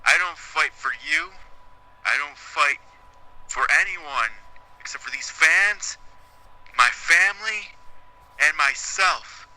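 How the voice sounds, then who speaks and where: A man voicing a proud male wrestler answering a challenge, with dramatic drawn-out emphasis. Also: on the high side, bright, forceful tone, pitched above the performer's own voice, heavily acted, low-quality recording. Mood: defiant. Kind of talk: in-game wrestling promo.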